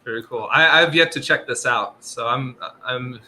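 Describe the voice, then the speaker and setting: Bright-voiced upbeat male host. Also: mid-range voice, normal speed, slight background noise. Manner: upbeat, friendly, drawling "y'all". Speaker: podcast host. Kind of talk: casual tech chat.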